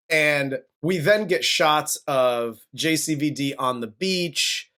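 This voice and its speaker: A man's voice: fairly high pitch, fairly bright tone. A man co-hosting a casual movie review podcast, recapping the plot with jokes. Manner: joking, recaps plot with mock voices. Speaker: podcast co-host.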